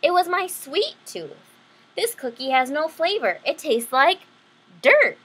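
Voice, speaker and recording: A woman's voice: sits high, very animated, unhurried, fairly bright tone, voice pushed up, exaggerated voice. A woman voicing a dramatic, complaining little girl who loves pink and sweets, in a storybook reading. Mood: whiny.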